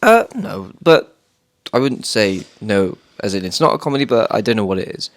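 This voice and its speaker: A man's voice: on the low side, sing-song, moderate pace. A man from the UK co-hosting a podcast, upbeat and chatty, stretching his phrases. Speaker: podcast co-host. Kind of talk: casual chat.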